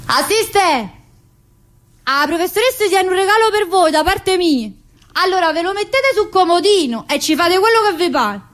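A woman's voice: extremely high pitch, exaggerated voice. A woman performing a theatrical monologue as a chatty, bossy, needy prisoner, with a colloquial, teasing manner. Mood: calling out, demanding. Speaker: actor in a solo stage monologue.

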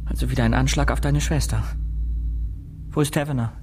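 Male actor as serious young guy telling a murder mystery, measured, radio play feel. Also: mid-range voice, fairly even intonation, a little mellow, a little hiss or hum, natural voice. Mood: concerned. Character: earnest young fiancé narrating a murder mystery. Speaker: narrator and lead character. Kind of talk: crime radio drama narration and dialogue.

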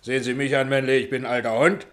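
An actor in a German radio play playing a bossy, self-satisfied Hollywood producer with a brisk, jovially pushy manner. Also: male voice, medium pitch, little pitch movement, natural voice. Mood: brisk, self-assured. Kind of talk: German radio drama acting.

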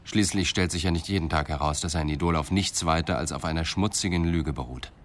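An actor playing a calm police detective confronting a suspect in a radio play, measured, probing and restrained. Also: male voice, rumbling low, slight background noise. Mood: accusing. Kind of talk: 1990s German radio play acting.

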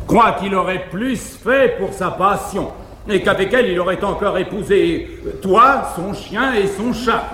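An actor playing a garrulous, fearful but frank male valet, delivering quick, emphatic comic declamation on a 1950s live stage. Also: high-pitched, fairly bright tone, slight background noise. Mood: mocking, confiding. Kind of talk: classical verse-era stage acting. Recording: echoey 1950s live theatre recording, reverberant hall.

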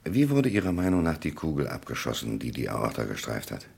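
A man acting a probing, deliberate police investigator in a German radio crime drama, with a gravelly, husky voice and pointed questions. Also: rumbling low, huge pitch swings, darkish tone, full character voice. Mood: inquiring. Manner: questioning, hesitant pauses, then pointed.

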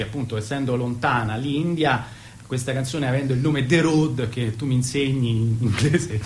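A man's voice: medium pitch, a little hiss or hum. An Italian man in casual, joking chat, introducing a song as a band member.

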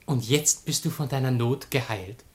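A man's voice: mid-range voice, normal speed, lightly acted. Man acting a mocking, jovial Greek fellow, declamatory. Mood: dry, mocking. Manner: declamatory, sardonic. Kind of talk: theatrical German radio play acting of a classical comedy.

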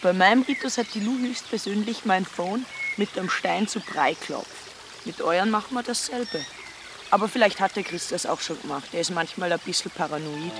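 An actress playing a laid-back, dryly knowing young commune member in a radio drama, terse and understated. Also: female voice, medium pitch, lively intonation, moderate pace, noisy background, close to an everyday voice. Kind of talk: German radio drama, naturalistic acting.

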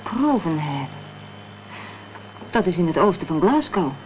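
A woman's voice: lowish voice, very animated, measured pace. A woman acting a concerned, questioning companion in a Dutch radio crime drama. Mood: puzzled. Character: worried, inquisitive female companion in a crime thriller. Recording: dull, noisy old radio play recording.

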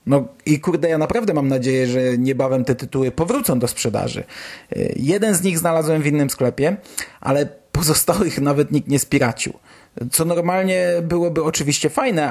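A man's voice: fairly high pitch, moderate pace, darkish tone. Chatty Polish man hosting a podcast. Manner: colloquial, chatty, mild swearing. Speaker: podcast host. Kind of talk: casual podcast news commentary.

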